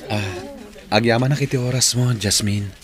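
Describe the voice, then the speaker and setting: A man's voice: medium pitch, normal speed, close to an everyday voice. A man playing a polite young suitor in a Filipino radio drama, tentative and courteous.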